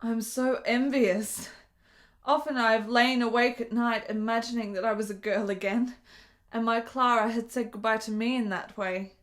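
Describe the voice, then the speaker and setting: A high female voice from an actress as a frail, lonely invalid in a period radio play. She speaks delicately and formally, with an intense yearning and a wry edge. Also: fairly even intonation, normal speed, fairly bright tone, lightly acted. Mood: wistful, yearning. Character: frail, lonely, ailing woman of 1870s Italy, yearning, wry. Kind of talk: dramatic radio play dialogue.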